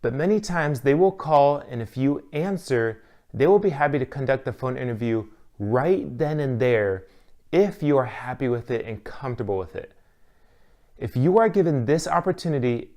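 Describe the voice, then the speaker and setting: A man's voice: mid-range voice, mellow. Man narrating a scripted lesson. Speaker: instructor.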